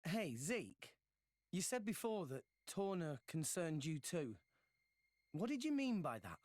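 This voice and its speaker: Young boy hero, high voice, lively swoops, frank, curious, video game acting. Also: measured pace, dry recording. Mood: surprised, questioning. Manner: casual, questioning. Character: earnest teenage boy adventurer, curious and frank.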